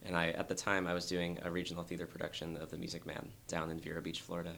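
A young man, a dancer, talking earnestly and thoughtfully in an interview, with a very low voice and frequent restarts. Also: monotone, rapid-fire, gravelly, a bit bright, slight background noise. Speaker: dancer guest. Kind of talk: reflective interview talk.

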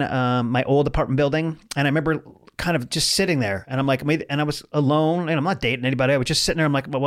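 A man in his forties, a stand-up comedian talking casually as an interview guest, telling stories in an animated way and acting out dialogue. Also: fairly high pitch, clear, resonant tone. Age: 40s. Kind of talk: casual conversational storytelling.

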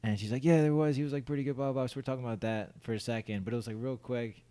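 A man's voice: medium pitch, fairly even intonation, very fast, warm tone, dry recording. A young man co-hosting a casual podcast, telling rambling stories full of fillers and restarts, laughing often and acting out his own thoughts. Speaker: podcast co-host. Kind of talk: casual chat.

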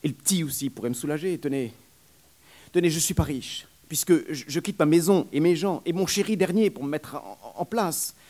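A man's voice: lively intonation, a little fast, mellow. A man voicing a suffering young wet nurse, pleading in a raised, breathless voice. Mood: yearning. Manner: high, plaintive, breathless pleading.

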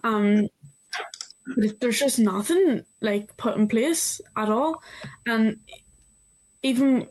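A woman's voice: a little high, takes it slowly, long pauses, darkish tone, rough recording. A young woman from a youth forum, speaking casually and plainly to a committee. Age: young adult. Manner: casual, plain-spoken, uses "like" often. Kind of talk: briefing to a committee.

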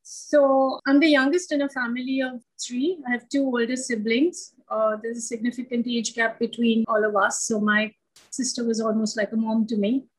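A woman's voice: fairly high pitch, level tone, normal speed, low-quality recording. A middle-aged woman, from Sri Lanka and India, answering questions conversationally as an interview guest.

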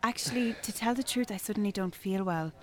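A woman's voice: medium pitch, brisk, darkish tone, close to an everyday voice. An actress playing a polite, uneasy woman in a naturalistic radio drama, courteous, hesitant and slightly formal.

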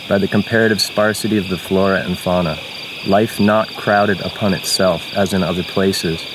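A woman giving a measured, literary reading of descriptive nature writing. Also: a bass voice, background noise.

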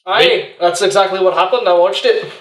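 A man's voice: high voice, moderate pace, lightly acted. A man playing a brash, rude shopkeeper hawking his wares in an improvised comedy radio play. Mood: proud. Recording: echoey, noisy, slightly distant.

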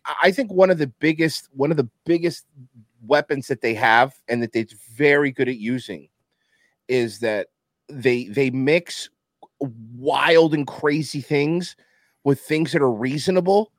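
A man's voice: mid-range voice, measured pace, no echo, clean studio sound. A man whose first language is Spanish, talking in a casual political chat as a guest and slipping into mocking impressions. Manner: animated, swings into mocking voices, laughs often. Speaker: podcast guest.

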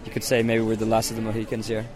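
A man answering interview questions in a thoughtful way, pausing now and then with small hesitations, at an outdoor cafe. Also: lowish voice, monotone, a little mellow, soft background music.

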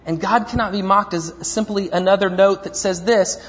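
A man's voice: high voice, steady tone, moderate pace. A man preaching a sermon as pastor, rambling and self-deprecating, with many fillers and restarts. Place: church. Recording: dull lo-fi church recording with long reverb.

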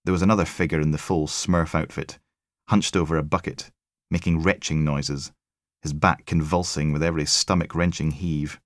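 A man's voice: very deep voice, little pitch movement, moderate pace, dry recording, studio quality. A man reading a crime thriller as scripted audiobook narration.

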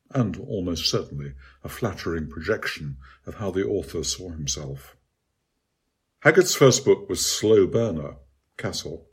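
Low, husky man reading an essay. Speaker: author reading own article. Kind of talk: scripted literary essay narration.

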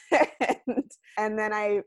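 A young woman from the United States, a guest artist, talking reflectively in an interview and often trailing off quietly. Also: mid-range voice, extremely slow, dry recording, clean studio sound. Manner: thoughtful, many fillers and restarts, trailing off quietly.

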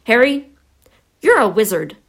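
A woman voicing a huge gruff, hot-tempered but good-hearted man with rustic, blustering speech in a storybook reading. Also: very animated, a little slow, heavily acted. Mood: hearty, blunt. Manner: rustic dialect, booming, blustering.

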